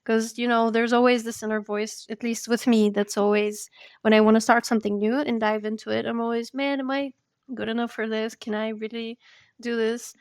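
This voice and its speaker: A woman's voice: a little high, level tone, normal speed, clean tone, no room sound, studio quality. A woman hosting a podcast, interviewing in a conversational, informal and friendly way. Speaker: podcast host.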